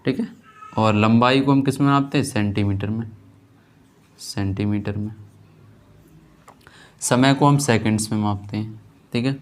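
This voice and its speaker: A man teaching a physics class, asking and answering his own questions and repeating key terms. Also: mid-range voice. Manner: frequent check-in tag after points. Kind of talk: classroom lesson, explaining while writing on a board.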